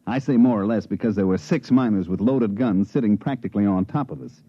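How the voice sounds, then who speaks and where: A man's voice: fairly low pitch, brisk, dark tone, no echo, natural voice. An American man playing a wry huckster who tells his own story colloquially in 1950s radio drama style. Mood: wry, satisfied. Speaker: narrator and lead actor. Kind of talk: 1950s American radio drama acting, first-person narration. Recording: muffled 1950s radio recording, music.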